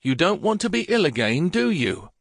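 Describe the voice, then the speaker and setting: A touchy middle-aged lawyer in a storybook, read by a man, formal and easily heated. His voice sits a little higher than the reader's own and moves a lot. The recording is dry and close. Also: very animated, normal speed, vocal fry, no echo, heavily acted. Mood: stern.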